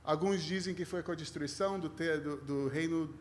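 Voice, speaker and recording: Guest rabbi, male, Brazilian accent with a mixed tinge, lightly raised pitch, conversational sermon with self-deprecating humour. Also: normal speed, slight background noise. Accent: Brazilian with a mixed foreign tinge. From Rio de Janeiro, Brazil.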